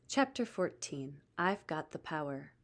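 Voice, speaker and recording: A woman reading a children's book aloud as expressive scripted narration. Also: low-pitched, a little slow. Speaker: storybook reader.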